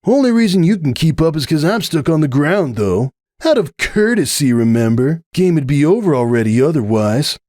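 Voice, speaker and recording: A man's voice: fairly high pitch, sing-song, normal speed, hardly any pauses, a little mellow, dry recording, studio quality, pitched above the performer's own voice. A man voicing a burly, competitive male pegasus athlete, sneering and boastful, in a story reading. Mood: defensive boasting. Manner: boastful, smug.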